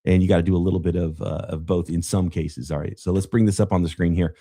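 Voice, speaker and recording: A man hosting a live podcast about the draft, slightly raspy as he fights off a cough, talking casually while he runs a draft simulator. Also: low voice, steady tone, fast, mellow. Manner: casual, thinking aloud while running a draft sim. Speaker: podcast host.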